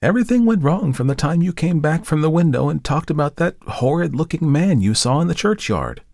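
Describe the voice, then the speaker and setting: A man voicing a lively, teasing young woman who works as an artist's model, chatty and quick to scold, in a dry close recording. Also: fairly high pitch, creaky, no room sound, voice pushed up. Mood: musing teasing. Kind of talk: audiobook character voice.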